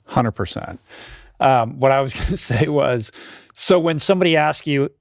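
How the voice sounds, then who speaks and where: Gravelly-voiced man in his fifties hosting. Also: mid-range voice, normal speed, no room sound, studio quality. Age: 50s. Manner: relaxed, many fillers and backchannels. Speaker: podcast host. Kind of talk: casual interview chat.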